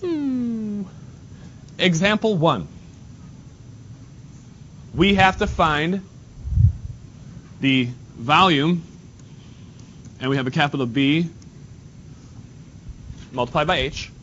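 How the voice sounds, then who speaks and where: A nasal man teaching a math class, his voice a bit higher than most. The recording is dull and lo-fi, with a faint rumble behind him. He talks casually and breaks off to speak to students. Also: animated, takes it slowly, pauses often, a bit bright. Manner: casual, talks to students while drawing on the board. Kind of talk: classroom lesson.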